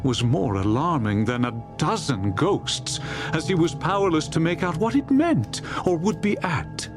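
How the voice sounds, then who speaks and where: A man narrating a classic story as a dramatized audiobook, in a theatrical, expressive storytelling manner. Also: a little high. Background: music.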